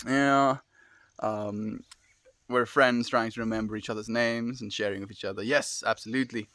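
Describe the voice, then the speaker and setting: A man from South Africa with a South African accent, hosting a live stream, chatting with viewers in a relaxed, musing way with soft laughs. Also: on the low side, normal speed, no echo. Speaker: livestream host. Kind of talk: casual live-stream chat reading viewer comments.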